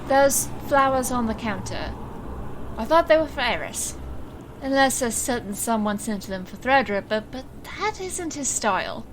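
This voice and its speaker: A woman's voice: a little high, expressive, moderate pace, natural voice. A woman playing a weary, sardonic stage magician and capable assistant in an audio drama, with dry delivery. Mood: curious. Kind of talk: audio drama character acting. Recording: faint noise.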